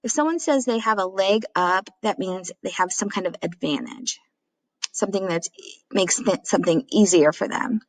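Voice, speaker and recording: A woman reading a story aloud like a teacher, expressively, with clear explanatory asides. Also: mid-range voice, moderate pace, no room sound, low-quality recording.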